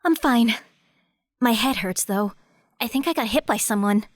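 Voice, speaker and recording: Woman voicing a high-pitched, timid robot girl. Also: lively intonation, normal speed, no echo, studio quality. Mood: dazed, confused. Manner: frightened, fragile. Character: timid young female robot scientist. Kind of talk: audio drama acting.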